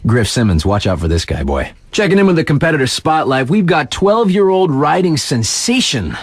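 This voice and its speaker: An actor playing a laid-back but hyped male radio DJ in a video game, giving slangy, punchy sports spotlight commentary with drawn-out emphasis. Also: a little high, big pitch swings, husky, dry recording, studio quality, heavily acted. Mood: amused, laid-back.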